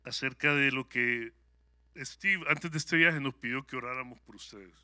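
Bright-voiced Salvadoran man giving testimony. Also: mid-range voice, normal speed, no echo, studio quality. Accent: Salvadoran Spanish. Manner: conversational and upbeat, with frequent hesitant fillers. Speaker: guest speaker at a church service. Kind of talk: personal testimony to a congregation.

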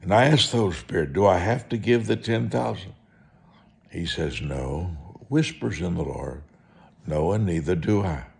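A man preaching in a personal testimony style, folksy and deliberate, repeating phrases for emphasis. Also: low-pitched, lively intonation, vocal fry. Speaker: preacher, evangelist.

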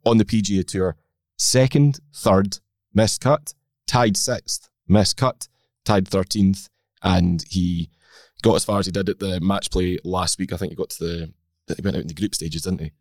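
A man with a slightly croaky voice, co-hosting a golf chat and reeling off stats in a measured way. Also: low voice, fairly even intonation, a little mellow, dry recording, clean studio sound. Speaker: podcast co-host.

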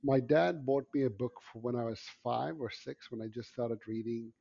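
A man in his forties, a podcast guest telling animated stories from his childhood with many fillers. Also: medium pitch, normal speed, vocal fry, husky, dull audio. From South Africa. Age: 40s. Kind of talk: interview storytelling. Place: Brooklyn.

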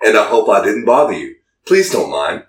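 A man's voice: lowish voice, very animated, moderate pace. A man casually reading text messages aloud for comedy, stumbling a little through broken English in an amused way. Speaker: podcast host. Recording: roomy echo, distant from the mic.

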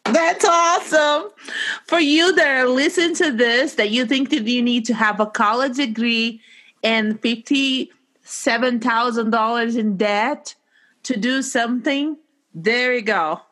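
A woman's voice: a little high, a little slow, a bit bright. A woman hosting a casual interview, enthusiastic and encouraging, with slightly non-native phrasing. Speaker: host.

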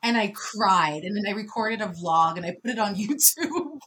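A woman in her thirties speaking as a podcast guest in casual conversation, expressive and shifting between soft reflection and loud laughing energy. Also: mid-range voice, moderate pace, a little hiss or hum. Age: 30s.

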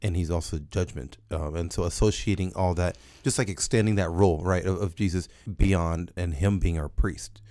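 Male co-host, very low voice, earnest and halting, dry mic. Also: steady tone, mellow, dry recording. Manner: earnest, halting, repeats words. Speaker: podcast co-host. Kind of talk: casual Bible study discussion.

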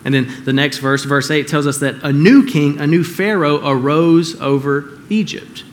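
A man's voice: a little high, fairly even intonation, moderate pace. A man preaching a sermon in a conversational way, often checking in with the congregation with questions. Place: church.

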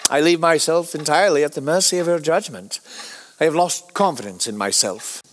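A man playing an anguished, evasive Victorian doctor in a period drama, halting and fervent in his speech. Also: a little high, fairly bright tone, slight background noise, close to an everyday voice. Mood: nervous, defeated. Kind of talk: period audio drama acting.

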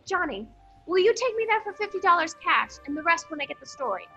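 A woman's voice: very high voice, very animated, quick pace, sharp tone, soft background music, exaggerated voice. An actress playing a ditzy, eager and brazen young woman sleuth in a comic old-time radio play, breezy and chatty. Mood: breezy, cheerful. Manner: breezy, chatty, blithely unconcerned.

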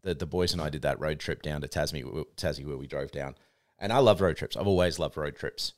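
A man's voice: a bass voice, a little fast, dry recording, clean studio sound. A man co-hosting a podcast, chatting casually and often restarting or repeating words. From New Zealand. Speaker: podcast co-host, real estate trainer. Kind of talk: casual chat.

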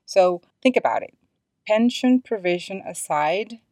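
A woman's voice: fairly low pitch, darkish tone, dry recording, studio quality. A woman hosting a podcast solo, talking in a conversational, explanatory and opinionated way. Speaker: podcast host.

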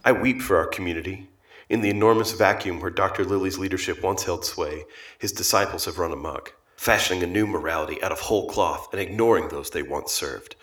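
An actor playing a solemn, accusatory online agitator in a radio play, oratorical and rabble-rousing, with a reverberant effect on the voice. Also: male voice, low voice, a little fast, a bit bright. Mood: ominous indignation. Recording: echoing, reverberant, distant sound.

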